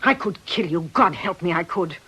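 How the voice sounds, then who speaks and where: An actress playing a furious, betrayed woman in a British radio drama, biting and contemptuous, with a husky voice. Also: on the low side, expressive, normal speed, bright, forceful tone, a little hiss or hum. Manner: biting, emphatic. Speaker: actor.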